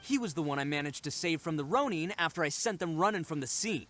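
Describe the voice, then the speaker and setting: Proud young male oni, video game character, emphatic, earnest, very lively intonation, slightly high pitch. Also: fast talker, a little hiss or hum. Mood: candid, serious. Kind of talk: scripted video game voice acting.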